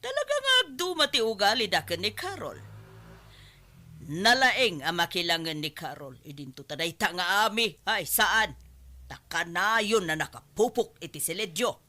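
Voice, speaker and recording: A woman's voice: mid-range voice, sing-song, moderate pace, sharp tone. A woman acting a disapproving, meddling mother-in-law in a Filipino radio drama, with an Ilocano accent and a scolding manner.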